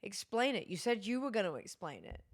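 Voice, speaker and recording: A woman's voice: mid-range voice, very animated, brisk. Brit woman streamer rambling to chat, tired, voice kinda going, lots of ums. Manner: rambling, chatty, many fillers. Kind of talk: casual chat with live chat.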